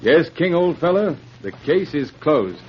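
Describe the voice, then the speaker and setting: An actor playing a calm, resolute police sergeant with a measured, firm delivery in old-time radio drama style. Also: male voice, a little high, loud music behind the voice. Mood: reassuring. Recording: muffled, noisy vintage radio recording.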